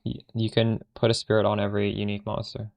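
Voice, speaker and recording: Gravelly Canadian man streaming a game. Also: fairly low pitch, monotone, quick pace, muffled recording. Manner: chatty, answers chat questions while playing, trails off mid-sentence. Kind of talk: casual live-stream chat with viewers.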